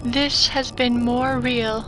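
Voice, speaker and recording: A woman's voice: a little high, steady tone, slow pace, over music, poor audio. A woman playing a devoted, grave female synthetic human in a sci-fi audio drama, speaking in an earnest, solemn manner. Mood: tender, sorrowful.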